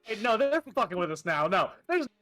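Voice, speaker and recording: Bright, high-pitched man bantering. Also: very animated, fairly quick. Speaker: co-host reacting to a reading. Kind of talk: casual banter. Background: faint music.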